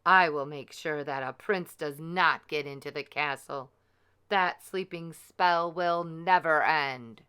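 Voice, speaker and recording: A vengeful wicked fairy from a storybook, as a woman reader puts her on. She declaims with menace and heavy emphasis, in a forceful, clear voice. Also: sits low, takes it slowly, exaggerated voice. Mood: scheming.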